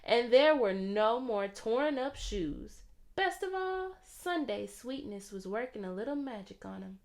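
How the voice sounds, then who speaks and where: A woman reading a children's story aloud in an expressive, theatrical way. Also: a little high, unhurried. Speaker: storybook reader.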